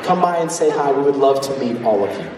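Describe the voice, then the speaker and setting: A man's voice: mid-range voice, animated, fast, darkish tone. A man from Colorado, a singer in a vocal group, speaking to fans from a stage. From Littleton, Colorado. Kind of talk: stage address to concert audience. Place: large indoor theater stage. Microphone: handheld mic through PA, recorded from audience. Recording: echoey concert hall from far, crowd noise.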